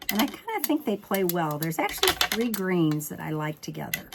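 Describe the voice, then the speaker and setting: A woman's voice: lowish voice, sing-song, normal speed, gravelly, very noisy. A woman with a slightly froggy voice hosting a craft tutorial, chatting informally and thinking aloud as she works. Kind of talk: chatty card-making demonstration.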